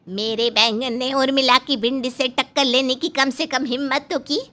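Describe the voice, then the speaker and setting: Woman doing a bossy scheming cartoon mother in law, bright high voice, scolds a lot. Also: fairly even intonation, normal speed. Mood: scolding, impatient. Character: scheming, sharp-tongued mother-in-law, envious of younger daughter-in-law.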